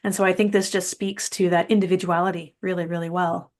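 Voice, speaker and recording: A woman's voice: on the low side, little pitch movement, brisk. Encouraging Canadian woman podcast host. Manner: warm, encouraging, laughs lightly. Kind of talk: podcast interview.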